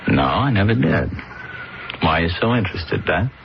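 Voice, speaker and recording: An actor playing a broke ex-convict who is hesitant and wisecracking in a radio drama. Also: male voice, low voice, big pitch swings, moderate pace, vocal fry, highs cut off, a little hiss or hum, exaggerated voice. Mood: cocky. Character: broke ex-convict man, cocky and wary. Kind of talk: 1970s radio drama acting.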